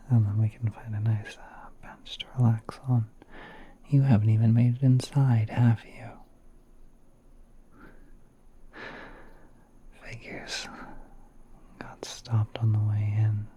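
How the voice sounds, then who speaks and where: A gentle spider prince, acted by a man, speaks in a mellow, breathy half-whisper. He is slow, courteous and a little hesitant, as in an intimate ASMR roleplay. Noticeable background noise sits behind the voice. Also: on the low side. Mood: gentle, charmed. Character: gentle, courteous spider prince soothing a guest in his garden.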